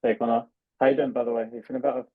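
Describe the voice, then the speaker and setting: Husky man on a dull lo-fi call. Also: medium pitch, level tone, racing. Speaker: coaching client. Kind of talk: casual question on a coaching call.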